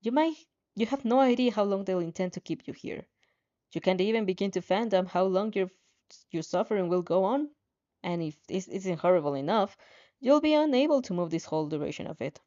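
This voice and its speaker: Woman, dramatic story narration, playful asides, occasional stumbles, mellow tone, dull lo-fi recording. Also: medium pitch, lively intonation, a little fast. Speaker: fan fiction reader reacting as she reads. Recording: dull, slightly muffled lo-fi audio.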